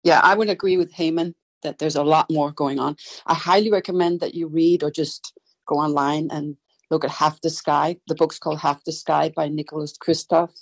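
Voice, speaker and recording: A woman with a Danish accent talks briskly through a call-in panel, her voice slightly husky. The sound is dry and close, with no room around it. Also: deep voice, fairly even intonation, dry recording. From Denmark. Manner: brisk, frequent "right?" tags. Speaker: gender equality consultant. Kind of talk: casual panel discussion.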